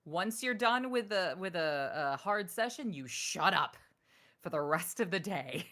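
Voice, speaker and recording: A woman voice actress in a casual interview, talking frankly and giving advice. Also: unhurried. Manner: animated, acts out quoted people in higher voice. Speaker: voice actress guest.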